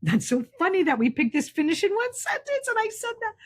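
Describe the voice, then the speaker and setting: A woman co-hosting a podcast from her hospital bed, talking casually in a deliberately quiet voice. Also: extremely high pitch, very animated. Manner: hushed quiet voice. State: recovering patient in bed. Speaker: podcast co-host. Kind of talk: casual chat. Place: hospital room. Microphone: camera setup at bedside.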